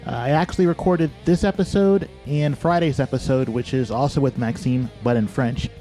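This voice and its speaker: A man hosts a podcast in a mellow, warm voice, delivering a measured, friendly introduction. Soft guitar music plays faintly behind him. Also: medium pitch. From Dayton, Ohio. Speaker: podcast host. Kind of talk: scripted podcast intro and interview.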